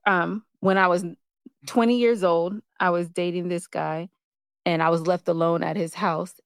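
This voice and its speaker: A woman's voice: fairly low pitch, fairly even intonation, moderate pace, clear, resonant tone, dry recording, studio quality. A woman co-hosting a casual relationship talk, challenging and insistent, often repeating herself to make her point. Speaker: podcast co-host.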